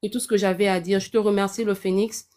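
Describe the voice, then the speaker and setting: A woman's voice: medium pitch, level tone, fast talker, darkish tone. A woman from Gabon with a Gabonese French accent, giving earnest, insistent big-sisterly advice on a live call-in panel.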